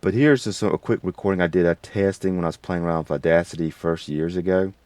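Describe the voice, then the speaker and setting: A man hosting a casual, unscripted software tutorial in a rambling, folksy way. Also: sits low, fast, no room sound. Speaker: tutorial host.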